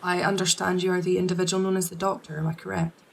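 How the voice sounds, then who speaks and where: A woman's voice: lowish voice, level tone, quick pace, gravelly. A woman acting a composed, polite task force officer in an audio drama, speaking formally and earnestly.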